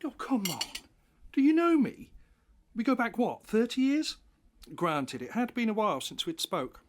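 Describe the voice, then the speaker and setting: A man's voice: high voice, very animated, normal speed. A man playing a breezy, teasing, over-familiar stranger with a big appetite in a comic audio drama. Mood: teasing, cocky. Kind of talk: comedic audio drama acting.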